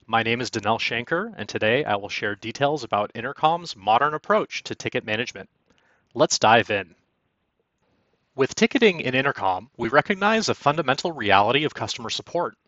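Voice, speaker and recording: Lively scripted male presenter, dry muffled recording. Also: medium pitch, brisk, no echo. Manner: polished, instructional. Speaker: product demo presenter. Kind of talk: scripted narration for a software walkthrough. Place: quiet, dry room, recorded as voice-over.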